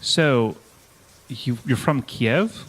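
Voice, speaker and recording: A man playing a formal, analytical investigator, wordy and measured, with an awkwardly delicate manner. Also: medium pitch, lively intonation, breathy edge, full character voice, poor audio.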